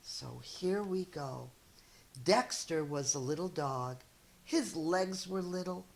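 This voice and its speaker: A middle-aged woman reads a picture book to children like a schoolteacher. Her voice sits slightly low and she goes very slowly and deliberately. She tells it expressively, adding small chatty asides. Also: gravelly. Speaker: elementary school teacher reading a story.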